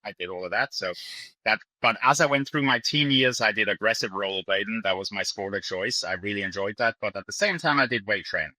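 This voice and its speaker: Podcast guest, male, mixed accent with Irish influence; low-pitched but very bright, forceful delivery, earnest and emphatic. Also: fairly quick, dry recording, low-quality recording. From Ireland. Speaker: podcast guest, bodybuilding coach. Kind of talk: conversational interview explanation.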